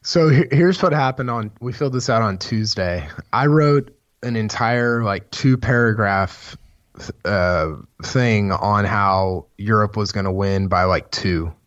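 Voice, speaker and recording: Hoarse man rambling about golf. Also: on the low side, a little slow, dry recording. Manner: rambling, many restarts, excited. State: hoarse, losing his voice. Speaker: podcast co-host, golf writer. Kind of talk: casual sports podcast chat. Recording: dull, dry podcast mic sound.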